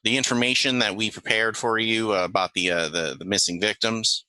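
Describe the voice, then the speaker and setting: A man's voice: fairly low pitch, fairly even intonation, sharp tone, no echo, low-quality recording. A man acting as game master, improvising narration of a tabletop game and often restarting his phrases. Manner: halting, repeats and restarts phrases.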